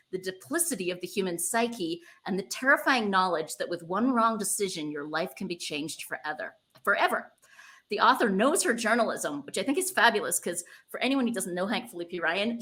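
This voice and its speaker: A woman's voice: mid-range voice, pushed and bright. A woman hosting a conversational book interview, speaking in an effusive, enthusiastic way. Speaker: podcast host interviewing an author.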